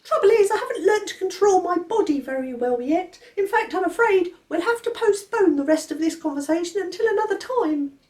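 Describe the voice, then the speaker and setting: A woman's voice: very high-pitched, animated, brisk, a little mellow, distant mic, pitched above the performer's own voice. A woman voicing a stern, precocious talking baby boy who lectures his sister. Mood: bossy. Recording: roomy echo.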